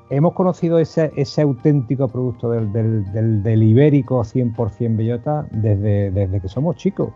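A man's voice: medium pitch, phone-quality sound. A man from Huelva, a podcast guest who chats casually and enthusiastically, with many restarts. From Huelva, Spain. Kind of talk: casual chat.

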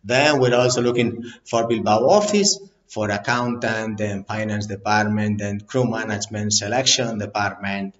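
Non-native low-voiced CEO, halting delivery. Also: male voice, fairly even intonation, dull audio. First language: not English. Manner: halting, repeats words, plain blunt phrasing. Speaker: company CEO. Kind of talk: direct-to-camera business talk.

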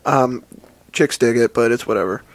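A man with a raspy, nasal voice, co-hosting a podcast and trading crude jokes in casual chat. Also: medium pitch, moderate pace, dry recording. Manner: joking, crude banter. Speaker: podcast co-host.

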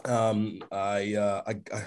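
A man, a podcast guest telling long stories in a relaxed interview. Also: lowish voice, slow pace, a little hiss or hum. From North America. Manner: expansive storyteller, checks in with "right?". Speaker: podcast guest, sports agent. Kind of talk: casual interview chat.

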